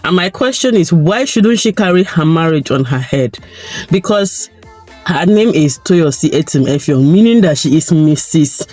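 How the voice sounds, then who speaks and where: A Nigerian woman with a croaky, tired voice, giving her opinions in a chatty vlog and pushing her points with rhetorical questions. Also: fairly low pitch, darkish tone. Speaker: vlogger.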